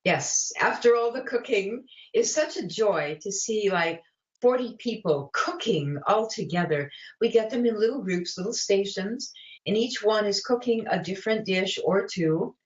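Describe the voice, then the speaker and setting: An older woman in her late seventies talks through recipes and classes, stopping often to find words. Her voice sits at a middle pitch. The sound is roomy and a bit muffled. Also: animated, unhurried. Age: 70s. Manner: warm, chatty, many fillers and restarts. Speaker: guest, vegan cookbook author and cooking teacher. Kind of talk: casual interview chat.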